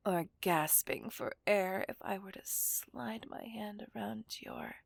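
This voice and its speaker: A woman playing a seductive, menacing villain kidnapper in a whispered ASMR roleplay, breathy, teasing and possessive. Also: medium pitch, no room sound, full character voice. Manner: slow, teasing, dominant.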